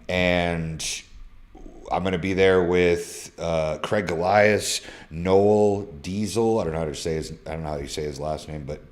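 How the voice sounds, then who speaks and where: A man's voice: deep voice, darkish tone. A man in his thirties who swears freely and talks in loose slang, reading fan messages aloud on a casual live stream from his phone. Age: 30s. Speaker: social media creator.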